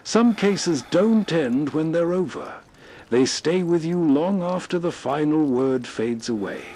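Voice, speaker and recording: A man's voice: on the high side, animated, a little slow, dark tone. A man reading scripted, atmospheric narration.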